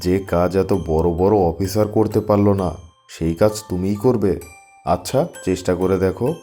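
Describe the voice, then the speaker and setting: A man's voice: low voice, a little slow, dark tone, soft background music. A fatherly cartoon dad. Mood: teasing. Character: doubting then proud father.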